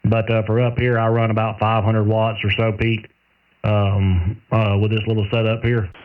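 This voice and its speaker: A man's voice: on the low side, flat delivery, moderate pace. A man chatting casually as a radio operator, with folksy, rural phrasing. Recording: tinny narrowband radio transmission, far from mic.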